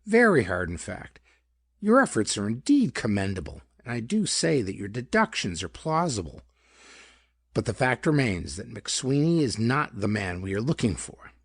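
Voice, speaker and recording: A man's voice: mid-range voice, big pitch swings, normal speed, no echo, clean studio sound. A man voicing a chubby, superior male detective in a pulp audiobook, with formal, pedantic, emphatic phrasing. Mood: precise, dismissive.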